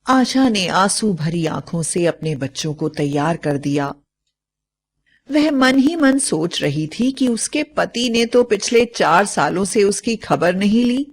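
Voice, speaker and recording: A woman narrating a scripted story as a storyteller. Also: lowish voice.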